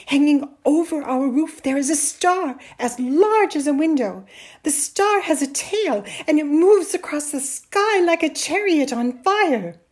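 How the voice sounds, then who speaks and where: A woman voicing an eager, pleading young shepherd boy in a storybook tale, earnest and excitable. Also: high-pitched, slow pace, voice pushed up. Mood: excited.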